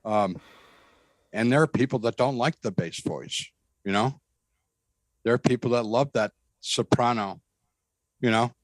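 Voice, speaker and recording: An earnest man with a bass voice telling his story to an interviewer. He is emphatic and repeats phrases as he goes. The recording is dry, with no room sound. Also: unhurried, long pauses, dry recording. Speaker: guest describing a spiritual experience. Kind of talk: conversational storytelling.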